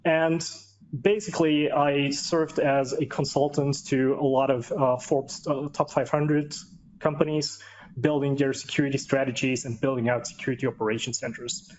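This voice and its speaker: A man's voice: mid-range voice, little pitch movement, normal speed, darkish tone. Non-native man on a muffled call. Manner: chatty, self-deprecating, non-native English speaker. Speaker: cybersecurity executive and panelist. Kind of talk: panel webinar introduction. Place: based in Vienna.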